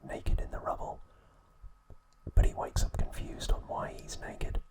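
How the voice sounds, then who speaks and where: A man whispers his way through an ASMR recap. His voice is breathy and unvoiced from start to finish. He delivers the narration in hushed, measured short phrases, as a host guiding the listener through trivia.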